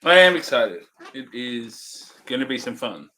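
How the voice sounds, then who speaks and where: Man with a very bright voice, brief replies. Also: mid-range voice, expressive, unhurried. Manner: brief, laid-back replies. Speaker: co-host. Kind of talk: casual chat.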